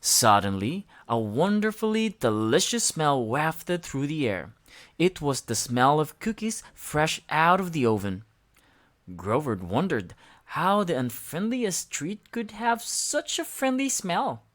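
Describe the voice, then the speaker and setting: A woman reading a children's storybook aloud with expressive narration. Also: deep voice, takes it slowly.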